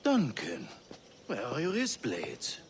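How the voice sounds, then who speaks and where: Smug killer, slow delivery, expressive pitch, menacing game villain. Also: male voice, noisy background, full character voice. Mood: smug, taunting. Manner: deliberate, taunting. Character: menacing, smug French male assassin hunter. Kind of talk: dramatic video game acting. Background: clear background music.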